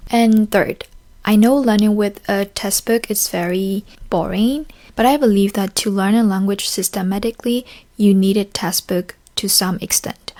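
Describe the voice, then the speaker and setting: A young woman with a mid-range voice hosts a friendly podcast for learners. She speaks clearly and unhurriedly, with an occasional filler or restart along the way. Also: mid-range voice, a little mellow. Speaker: podcast host and graduate student. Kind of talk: casual chat, then a solo talk for learners.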